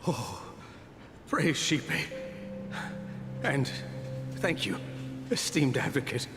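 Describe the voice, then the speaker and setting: Meek, slow-spoken servant, heavily reverberant. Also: male voice, mid-range voice, sing-song. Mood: relieved, reverent. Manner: deferential, hesitant, formal. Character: meek, guilt-ridden male servant, humble and reverent. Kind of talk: dramatic game voice acting. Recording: reverberant hall, loud music behind.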